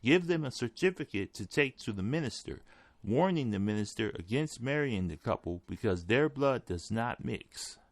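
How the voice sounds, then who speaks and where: A man's voice: medium pitch, lively intonation, normal speed, dry recording. A man reading a written article aloud as scripted narration. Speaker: article reader.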